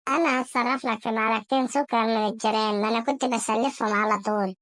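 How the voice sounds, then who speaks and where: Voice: medium pitch, steady tone, quick pace, a bit bright, no echo, clean studio sound, lightly acted. A performer voicing a casual, defensive cartoon housewife with an Egyptian accent, answering back nonchalantly in a comedy sketch. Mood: placating. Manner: nonchalant, back-talking, placating.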